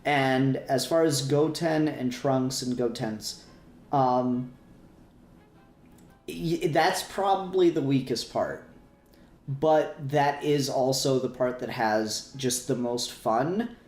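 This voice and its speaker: A man co-hosting a podcast in casual, opinionated discussion, often halting to repeat and rephrase himself. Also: medium pitch, a little mellow. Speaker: podcast co-host. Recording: roomy echo, faint music.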